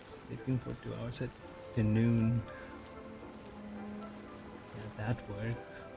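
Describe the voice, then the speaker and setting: A man with a creaky, unhurried voice tosses out short, casual reactions as a game streamer. His offhand remarks sit over clear game music. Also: mid-range voice, level tone. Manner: brief, offhand interjections. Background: game music and dialogue.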